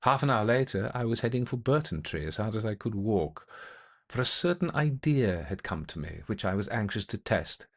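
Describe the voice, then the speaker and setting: A man narrating a ghost story as a methodical gentleman investigator, speaking in a formal, deliberate, old-fashioned way. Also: fairly low pitch, animated. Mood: measured, intent. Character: male gentleman investigator recounting an eerie experience, methodical, intent.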